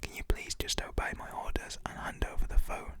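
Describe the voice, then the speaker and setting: A man's voice: a little fast, natural voice. A man whispering as a stern schoolteacher. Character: strict, stern female schoolteacher.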